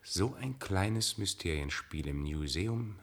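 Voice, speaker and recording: A man's voice: sits low, animated, slow, exaggerated voice. An actor playing a cynical male singing idol in a radio play, mocking and theatrical. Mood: wry. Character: cynical Orpheus, male idol singer of the future. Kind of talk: German radio play acting.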